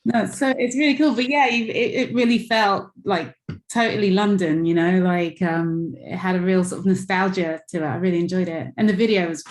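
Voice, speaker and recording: A woman's voice: fairly low pitch, steady tone, brisk, echoey room. A woman musician on a call, chatting about her music with many fillers and little laughs. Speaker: musician guest. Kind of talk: casual chat on a call. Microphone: video call.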